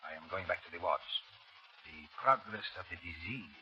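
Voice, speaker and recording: Cold, low-voiced villainous doctor, muffled radio. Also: male voice, expressive, normal speed, pushed and bright, full character voice. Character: callous, domineering male scientist doctor, coldly villainous. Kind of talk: 1930s radio drama acting. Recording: dull, noisy 1930s radio broadcast.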